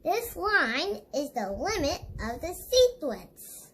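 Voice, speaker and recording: A woman's voice: extremely high pitch, big pitch swings, takes it slowly. A female reader reading an educational children's book aloud, stumbling over words now and then. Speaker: read-along book reader.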